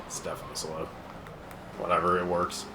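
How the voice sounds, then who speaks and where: A man's voice: low-pitched, completely flat delivery, a little fast. A man co-hosting a video game playthrough in casual, sweary, sarcastic banter. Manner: heavy swearing, sarcastic joking. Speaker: let's play co-host, gamer. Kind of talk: casual gameplay commentary. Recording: clear game noise behind voice.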